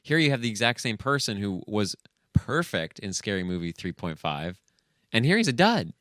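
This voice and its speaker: A man with a lowish, smooth and clear voice in a dry recording. He talks as a podcast co-host, casually picking films apart and haltingly thinking aloud. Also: very animated, a bit bright, dry recording. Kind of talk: casual, analytical movie chat.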